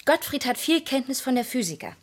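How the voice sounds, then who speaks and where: An actress in a German radio drama playing a naive, chatty servant girl who rambles haltingly, devoted and deferential. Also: high voice, very animated. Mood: earnest, dreamy.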